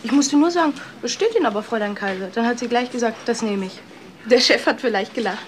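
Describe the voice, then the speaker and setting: An actress playing a gossipy, catty office worker in a German crime radio play, chatting away. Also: female voice, a little high, fast, slight background noise, natural voice.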